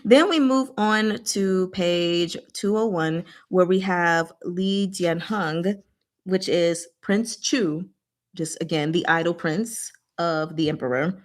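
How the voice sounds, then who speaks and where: A woman hosting a casual book discussion, retelling scenes in a giggly, animated, slangy way and acting out the dialogue. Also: on the low side, slow pace.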